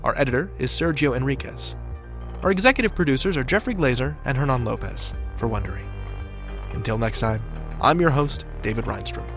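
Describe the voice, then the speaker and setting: A man's voice: medium pitch, lively intonation, a little fast. Wry male podcast host over music. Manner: wry, playful. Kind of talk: scripted podcast narration.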